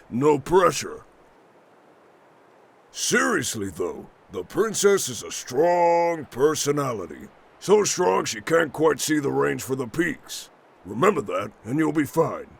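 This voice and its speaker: A man's voice: mid-range voice, big pitch swings, takes it slowly, creaky, slight background noise, full character voice. A man voicing a hearty, boastful, good-natured giant warrior who eats rocks, jovial and folksy, in a fantasy game dub. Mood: friendly, teasing. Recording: music behind.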